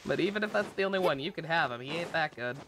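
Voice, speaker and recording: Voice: mid-range voice, animated, quick pace, background noise. A game streamer giving casual live commentary in a blunt, sarcastic, sweary way.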